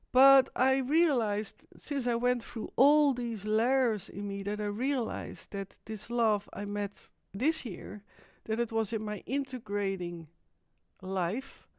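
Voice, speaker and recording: A woman's voice: medium pitch, a little mellow, dry recording. Dutch woman, live stream host, rambling with restarts, dry close sound. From Netherlands. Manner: rambling, halting, with frequent restarts. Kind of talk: casual live stream monologue.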